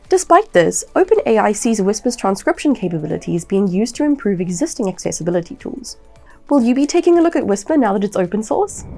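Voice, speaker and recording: Lively woman presenting scripted news. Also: medium pitch. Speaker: presenter of a weekly tech news roundup.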